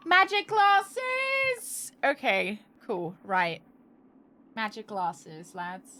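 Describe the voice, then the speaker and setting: A woman doing casual live game commentary, excitable and playful, reacting aloud and swearing freely. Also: extremely high pitch, very slow. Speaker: game streamer. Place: indoor room, live gaming stream.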